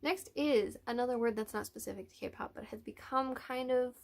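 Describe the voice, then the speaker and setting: A woman's voice: a little high, normal speed, creaky. A young woman casually explaining words to her channel viewers, chatty and full of hedges and restarts. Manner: chatty, hedging with many "like"s and restarts. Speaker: YouTuber.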